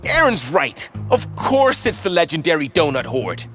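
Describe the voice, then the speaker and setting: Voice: mid-range voice, very animated, normal speed, fairly bright tone, soft background music. An actor voicing an earnest, grandiose companion in a comic video game, with a solemn, dramatic manner.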